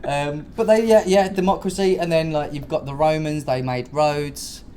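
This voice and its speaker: A man's voice: fairly high pitch, steady tone, moderate pace, dark tone. A man co-hosting a podcast in casual comedic chat, swearing freely in a slangy, informal way. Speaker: podcast co-host.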